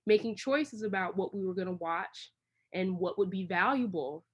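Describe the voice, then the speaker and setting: A woman's voice: medium pitch, normal speed, husky. Chatty woman from Washington, D.C. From Washington, D.C., United States. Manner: long-winded, rambling storytelling. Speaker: interview guest, creative director. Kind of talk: casual, conversational interview answers.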